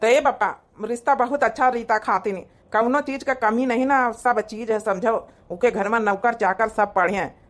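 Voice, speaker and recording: A woman's voice: fairly high pitch, moderate pace, hardly any pauses, natural voice. A woman voicing an earnest, protective grown village son with an Awadhi accent in a cartoon comedy. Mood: earnest, persuasive. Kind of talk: Hindi cartoon comedy acting.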